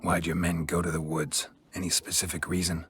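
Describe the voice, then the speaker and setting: An actor playing a gruff, stoic middle-aged monster hunter in a video game, gravelly and deadpan, in short clipped sentences. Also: male voice, low-pitched, a little fast, a little hiss or hum. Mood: flat, wary. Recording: music behind the voice.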